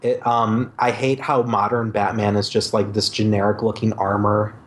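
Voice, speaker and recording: A man's voice: lowish voice, little pitch movement, a little hiss or hum. Hesitant man on a podcast. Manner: hesitant, halting starts. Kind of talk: casual podcast chat.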